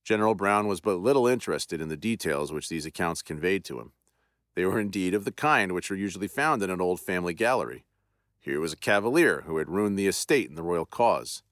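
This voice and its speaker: A man hosting a podcast and narrating a classic ghost story in a measured, theatrical way. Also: low voice, lively intonation, fairly bright tone, no echo, clean studio sound.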